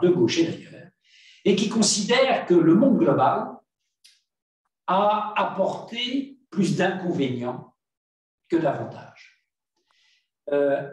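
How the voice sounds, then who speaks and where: An older man with a French accent giving a measured academic talk, pausing on fillers as he lays out his argument. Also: on the high side, a little mellow. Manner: measured, lecturing with many fillers. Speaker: panel speaker. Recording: echoey room, far from mic.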